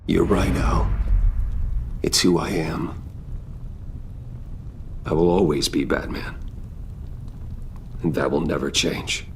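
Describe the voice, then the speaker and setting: An actor playing a brooding, determined vigilante in a video game drama, speaking in a serious, restrained, deliberate way. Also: male voice, very deep voice, gravelly, slight background noise, full character voice. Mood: resolute. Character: brooding, determined man, masked vigilante and loyal son figure.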